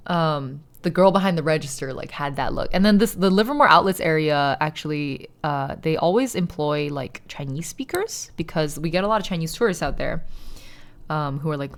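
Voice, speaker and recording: A woman's voice: deep voice, animated, normal speed. A woman, a Shanghainese speaker, chatting casually while she crafts on stream. She explains things at length, with lots of "like" and some casual swearing.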